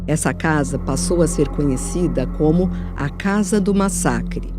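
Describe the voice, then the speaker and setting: A woman's voice: low-pitched, a little slow, music in the background. A woman with a Brazilian accent reading scripted narration as presenter of a radio documentary.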